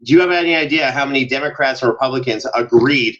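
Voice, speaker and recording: A man talking as a podcast guest in an emphatic, expressive and enthusiastic way, in casual interview conversation. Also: mid-range voice, quick pace, lo-fi sound. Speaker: podcast guest, show producer. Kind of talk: animated casual interview talk.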